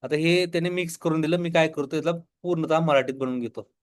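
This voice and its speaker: A man's voice: fairly high pitch, quick pace. A man with a Marathi accent giving a step-by-step screen tutorial as an instructor, posing questions and then answering them. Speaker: online business tutorial instructor.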